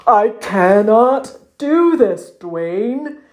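Woman as a slow, whiny, miserable muskox. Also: on the high side, lively intonation, darkish tone. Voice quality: croaking with misery. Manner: whiny, drawn-out, melodramatic lamenting. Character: miserable, vain, anxious large male muskox.

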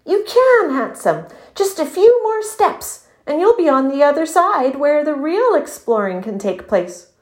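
An upbeat male animal sidekick from a storybook, played by a woman. The voice sits high, patient and coaxing, always reasonable and encouraging. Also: big pitch swings, unhurried, hardly any pauses, echoey room.

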